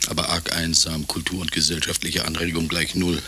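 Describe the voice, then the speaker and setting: A man's voice: deep voice, level tone, a little fast, pushed and bright. A man in a radio play acting a wistful forester who loves his trees, savouring his phrases theatrically. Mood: wistful, confiding. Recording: clear background noise, ambience.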